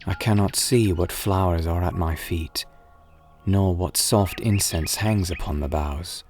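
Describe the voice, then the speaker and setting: A man with a low, deep voice reads romantic poetry aloud. His delivery is very unhurried, solemn and wistful, with drawn-out, dramatic phrasing. Soft music and birdsong play behind the reading. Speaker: poetry reader and narrator.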